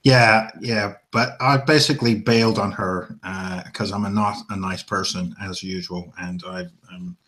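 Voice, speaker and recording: A man co-hosting a casual chat show, rambling and hesitant, with many pauses and fillers, and a dry, self-mocking way of talking. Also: deep voice, steady tone, fairly bright tone. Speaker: podcast co-host.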